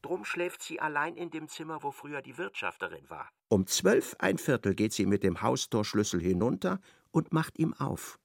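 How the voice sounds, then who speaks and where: A man's voice: a little high, big pitch swings, normal speed, gravelly, no echo, clean studio sound. A man narrating a literary radio play in a theatrical manner, retelling conversations in reported speech.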